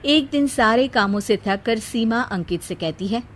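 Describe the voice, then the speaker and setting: A woman's voice: on the high side, expressive, normal speed, slight background noise. A woman narrating a scripted moral story as a storyteller.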